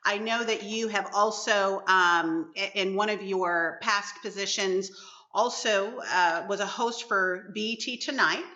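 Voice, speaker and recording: A middle-aged woman from the Caribbean hosting a podcast interview, speaking in a gentle, measured way. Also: medium pitch, muffled recording. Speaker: podcast host.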